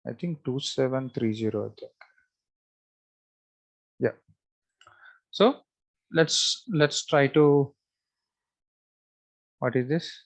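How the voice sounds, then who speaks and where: A man giving a step-by-step screen-share software tutorial, thinking aloud in a halting, repetitive, instructional way. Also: medium pitch. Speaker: software trainer.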